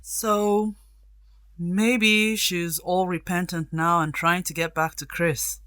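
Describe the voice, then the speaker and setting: A woman's voice: lowish voice, fairly even intonation, slow, a bit bright, dry recording. A woman playing a self-assured, helpful friend in a radio drama, talking in a composed, conversational way. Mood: speculating.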